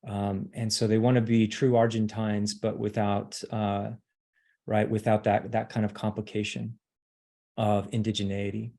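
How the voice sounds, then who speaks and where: A middle-aged man giving an academic lecture, pausing often with ums and uhs as he speaks. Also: fairly low pitch, flat delivery, gravelly, mellow. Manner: hesitant, many ums and uhs.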